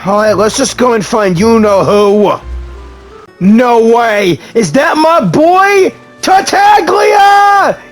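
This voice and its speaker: Unhurried woman streamer reacting casually. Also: fairly high pitch, lively intonation, soft background music. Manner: talks back to the characters. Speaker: streamer playing a game.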